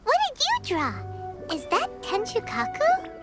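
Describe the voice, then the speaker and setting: Tiny cheerful fairy sidekick for a game, squeaky, extremely high, swooping delivery, music behind. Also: female voice, unhurried, full character voice. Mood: curious. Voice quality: squeaky, childlike. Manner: refers to self in third person, excitable. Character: small childlike female fairy companion, cheerful, greedy eater. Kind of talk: video game voice acting. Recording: clean studio voice under game music.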